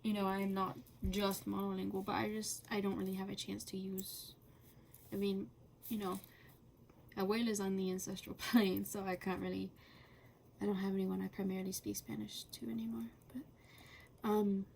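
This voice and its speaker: A woman chatting casually and rambling while coloring, halting often with fillers and laughing between thoughts. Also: mid-range voice, flat delivery, creaky, darkish tone, a little hiss or hum, low-quality recording. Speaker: channel host.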